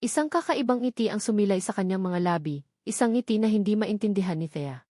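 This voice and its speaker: A woman's voice: mid-range voice, lively intonation, fairly quick, dark tone, no room sound, studio quality. A woman reading a scripted story as its narrator.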